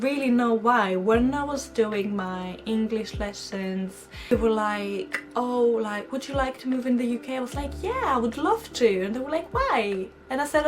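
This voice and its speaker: A young woman from Greece with a Greek accent, telling her story in a chatty, giggly vlog to camera. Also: fairly high pitch. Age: young adult. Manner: chatty, giggly, animated quoting of herself. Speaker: vlogger. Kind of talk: casual storytime chat to camera.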